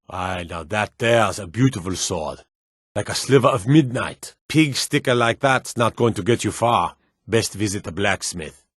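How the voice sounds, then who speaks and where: An actor playing a blunt, suspicious city guard in a fantasy video game, with folksy, old-fashioned turns of phrase. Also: male voice, on the low side, fairly bright tone, no room sound, studio quality. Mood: wry, watchful. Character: gruff, wary male city guard in a fantasy realm. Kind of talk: video game character barks.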